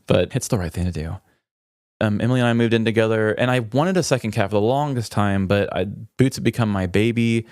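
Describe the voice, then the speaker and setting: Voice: medium pitch, brisk, darkish tone, no echo, clean studio sound. A podcast co-host telling personal stories in a casual, informal conversational way. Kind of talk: casual chat, personal storytelling.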